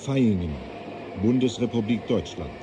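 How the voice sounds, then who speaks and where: A man's voice: fairly low pitch, animated, moderate pace, noisy background, lightly acted. A man acting in a radio play as an impersonal announcer giving terse headings. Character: clipped, impersonal male announcer of report sources.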